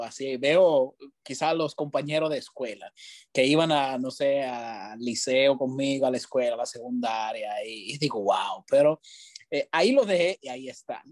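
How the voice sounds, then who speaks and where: A man's voice: mid-range voice, darkish tone, no room sound. An Italian man with a mid-range voice talks over his career in an easy, reflective way and laughs easily. The sound is close and dry, with no room around it. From Italy. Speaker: guest, television journalist. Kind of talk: casual interview talk.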